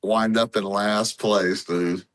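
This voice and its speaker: A middle-aged man chatting casually about sports on a podcast, telling animated stories with plenty of swearing and slangy dude-and-bro talk. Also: fairly low pitch, slow pace, no echo, clean studio sound. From Hawaii. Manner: animated storytelling, profane, says "dude" and "bro" often. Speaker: podcast guest.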